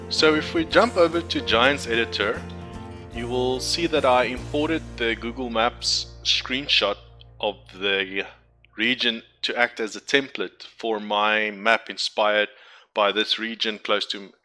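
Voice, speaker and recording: A man's voice: mid-range voice, steady tone, a little slow, sharp tone. A man from Cape Town with a South African accent, whose first language is Afrikaans, giving a casual, hesitant walkthrough of his game map with lots of ums and restarts. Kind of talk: casual screen-share walkthrough. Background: background music.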